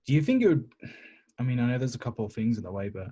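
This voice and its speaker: A man's voice: medium pitch, lively intonation, racing, mellow, breathy edge. A man playing a tabletop game, talking casually, on dull lo-fi audio.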